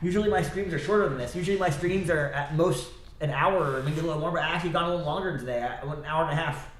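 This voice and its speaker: A man talks fast and loose into his stream, stopping and restarting his sentences as his thoughts wander. His voice sits a bit high and sounds a little stuffy and scratchy. The room around him is echoey. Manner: rambling, halting, many restarts and self-corrections. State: stuffy nose, slightly scratchy throat. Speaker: livestreamer. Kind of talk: rambling solo livestream chat.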